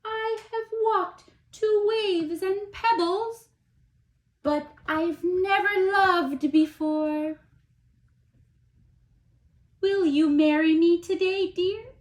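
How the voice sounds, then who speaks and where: A woman's voice: very high voice, steady tone, extremely slow, long pauses, echoey room, voice pushed up, exaggerated voice. Woman doing a lovesick pleading turtle. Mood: tender. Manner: sweet, pleading and plaintive. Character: lovesick, pleading, tender male turtle suitor.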